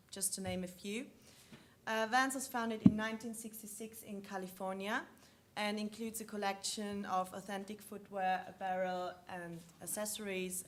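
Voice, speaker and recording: A woman with an Austrian accent presents a conference session, walking through her slides at a middling pitch. She explains step by step, often pausing on ums and restarting sentences. A faint hiss of background noise sits under her voice. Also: a bit bright.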